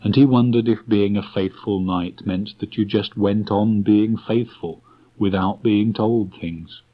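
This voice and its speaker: A man narrating a storybook as an audiobook reader, in a measured, unhurried manner. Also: on the low side, fairly even intonation. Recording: dull restored cassette tape.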